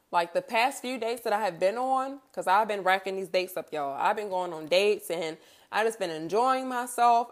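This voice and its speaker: A woman of thirty talking candidly and casually in a reflective personal monologue, as a podcast host, in colloquial phrasing and often checking in with the listener. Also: mid-range voice.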